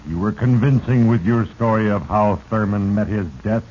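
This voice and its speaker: An actor playing sinister Fate, narrating a 1940s radio drama in a grave, portentous, accusing manner. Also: male voice, fairly low pitch, normal speed, darkish tone, lightly acted. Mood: accusing, doom-laden. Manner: grave, portentous, accusing second-person address. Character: sinister, heavy-handed male Fate narrating men's doom. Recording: dull, noisy 1940s radio recording.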